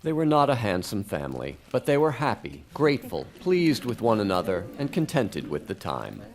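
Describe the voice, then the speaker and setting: A man narrating a live radio drama as scripted storytelling, with expressive, rhythmic delivery. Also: on the low side, normal speed, a little hiss or hum. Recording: music behind.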